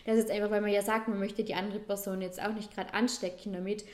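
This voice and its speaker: A woman's voice: medium pitch, little pitch movement, fast talker, darkish tone. A young adult woman with an Austrian accent, co-hosting a podcast in casual chat, talking freely with many fillers and restarts. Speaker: podcast co-host.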